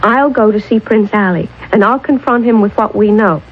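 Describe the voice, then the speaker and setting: A woman's voice: mid-range voice, moderate pace, lightly acted. An actress playing an earnest, headstrong woman scientist in a radio drama, urgent and emphatic. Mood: pleading. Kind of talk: 1970s radio drama acting. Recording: dull old radio broadcast recording.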